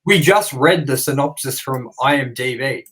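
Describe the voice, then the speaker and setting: A man's voice: medium pitch, animated, moderate pace, a bit bright. A man co-hosting a casual movie review chat, reading out figures and firing questions at his partner with fillers and restarts. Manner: reads figures, then prompts partner with questions. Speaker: co-host.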